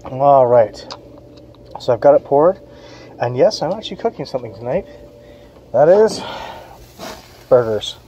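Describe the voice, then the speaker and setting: A man's voice: medium pitch, big pitch swings, a little slow, long pauses, dark tone, lo-fi sound, a little hiss or hum. A man from Western Canada, a parent, giving a casual, chatty beer review on an outdoor patio. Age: adult, parent of kids. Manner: chatty, informal, reads label aloud.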